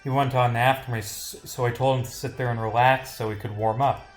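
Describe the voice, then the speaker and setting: A man's voice: mid-range voice, fairly even intonation, brisk, faint music behind, natural voice. A man voicing a defensive, evasive young male stage performer from a visual novel, protesting under questioning. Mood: reluctant confession.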